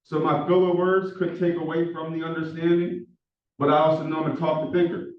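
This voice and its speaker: A man with a Philadelphia accent lecturing a class as instructor, talking quickly with lots of filler words. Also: a little high, recorded from a distance. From Philadelphia, USA. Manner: talks fast with many fillers, says he is monotone. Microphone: far room microphone. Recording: echoey classroom recorded from afar, dull sound.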